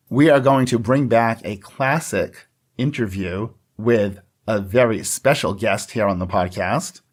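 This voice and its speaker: A man co-hosting a podcast in casual conversation, explaining things in a chatty, agreeable way. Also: on the low side. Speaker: podcast co-host. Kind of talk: casual scripted-outline podcast chat.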